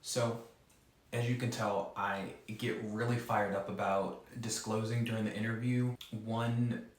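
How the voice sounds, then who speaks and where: A man's voice: on the low side, level tone, unhurried, very echoey. A man who stutters gives an earnest, direct instructional talk as a presenter for an advocacy group.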